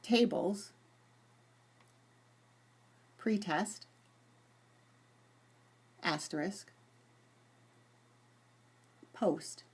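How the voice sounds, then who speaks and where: A woman with a husky voice presenting an informal software demonstration. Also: on the low side. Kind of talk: dictating voice commands to software.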